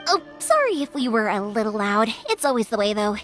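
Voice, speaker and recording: An actress playing a kind, cheerful young fireworks maker in a video game, speaking in a chatty, casual way. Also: female voice, a little high, sing-song, fairly quick, a bit bright, soft background music. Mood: cheerful, fond. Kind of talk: English video game voice acting.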